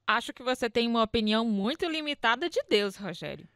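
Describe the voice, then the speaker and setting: Guarded skeptical sister, female, Brazilian accent, fairly high voice, radio drama. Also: lively intonation, brisk, a bit bright, dry recording, studio quality. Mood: resistant. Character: skeptical sister, polite but resistant to preaching.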